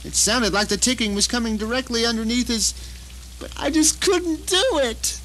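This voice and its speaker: A man's voice: extremely high pitch, moderate pace, sharp tone, slight background noise, low-quality recording. An actor playing a bitter, deluded blind man who tells his story in a theatrical, self-righteous audio drama monologue. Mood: frantic, horrified.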